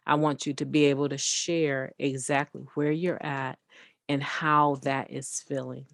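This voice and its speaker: A woman with a low, gravelly, booming voice delivers an earnest recovery meditation. She talks slowly and conversationally, checking in with her listeners as she goes. The sound is dry and close. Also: level tone, no echo. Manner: earnest, conversational, frequent tag questions. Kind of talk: encouraging spoken daily meditation.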